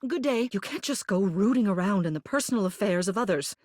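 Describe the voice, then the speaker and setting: A woman's voice: medium pitch, animated, brisk, a little mellow, no echo, clean studio sound, natural voice. A woman playing a haughty, formal mayor who is secretly tender, in scripted video game dialogue. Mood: brisk, imperious. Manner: prim, formal, officious, clipped commands.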